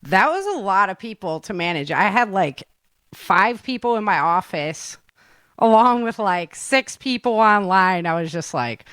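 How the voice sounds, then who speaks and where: A woman's voice: mid-range voice, animated, a little slow, a bit bright, clean tone, dry recording. A woman hosting a podcast in casual chat, with an informal, rambling, giggly way of talking. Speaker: podcast host.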